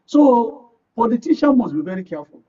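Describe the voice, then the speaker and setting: High male voice, northern Nigerian accent, emphatic political commentary, repeated phrases, muffled audio. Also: very animated, fairly quick, mellow, husky. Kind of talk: political discussion on a talk show. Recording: muffled, distant microphone.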